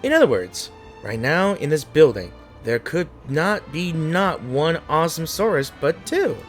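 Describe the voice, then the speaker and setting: A man's voice: on the high side, sing-song, measured pace, soft background music. A man streaming a game with casual, jokey, reactive commentary. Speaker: gaming streamer.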